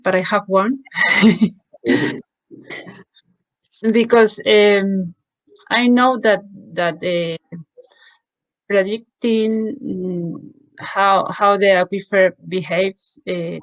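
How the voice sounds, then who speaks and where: A woman moderating a webinar, reading out audience questions in a halting way with fillers. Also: medium pitch, no echo, muffled recording. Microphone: video call.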